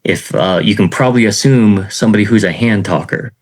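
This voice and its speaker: A middle-aged man teaching sales in an informal talk, in a self-described monotone, with many fillers and "okay" check-ins. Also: on the low side, fairly quick. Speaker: sales trainer.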